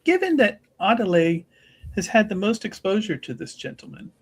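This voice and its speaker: A man's voice: high voice, very animated, moderate pace, husky, voice pushed up, full character voice. A man voicing a chipper, sly, well-mannered female investigator in a tabletop game, speaking in polite, roundabout phrases. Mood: earnest, brisk.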